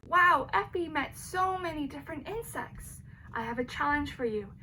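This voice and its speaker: A woman's voice: high-pitched, animated, normal speed, sharp tone, a little hiss or hum, poor audio. A woman teacher reading a children's story aloud expressively and asking young listeners questions.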